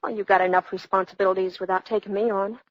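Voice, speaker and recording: A woman's voice: mid-range voice, steady tone, fast, no room sound, lightly acted. Woman playing plain american girlfriend type, loyal n a bit wry, mid voice, muffly 1940s radio play. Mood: wistful, coaxing. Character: plain-spoken, loyal working girl, gently wry sweetheart. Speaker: actress in a radio drama. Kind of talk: 1940s radio drama acting. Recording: dull vintage 1940s radio broadcast.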